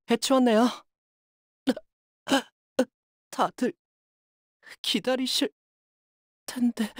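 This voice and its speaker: Young repairman, boyish, fairly high voice, polite, earnest, hesitant, game character, dry recording. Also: no echo, heavily acted. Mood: eager, focused. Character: earnest, polite young boat repairman, modest and easily flustered. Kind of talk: video game character voice lines.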